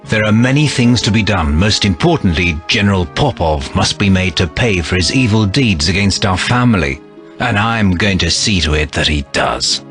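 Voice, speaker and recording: Earnest, low-voiced young game hero. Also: male voice, expressive, moderate pace, fairly bright tone. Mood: resolute. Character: earnest young male hero, resolute lost heir. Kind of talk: video game cutscene acting. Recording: music behind the voice.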